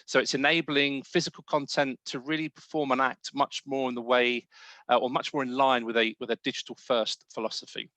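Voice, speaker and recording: A man hosting the event, delivering a scripted business pitch. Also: flat delivery, fairly quick, pushed and bright, no echo. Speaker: host. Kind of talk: prepared presentation.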